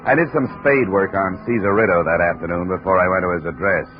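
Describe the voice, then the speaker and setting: An actor playing a wry, hard-boiled American insurance investigator, dry and laconic, in a 1950s radio detective drama. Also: male voice, low voice, quick pace, over music, close to an everyday voice. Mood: sardonic narrating. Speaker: lead actor and narrator. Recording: muffled, hissy 1950s radio recording.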